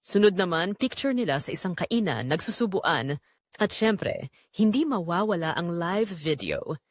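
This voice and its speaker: A woman's voice: lowish voice, expressive, unhurried, no echo, clean studio sound. A woman with a Filipino accent narrating a scripted dramatic story.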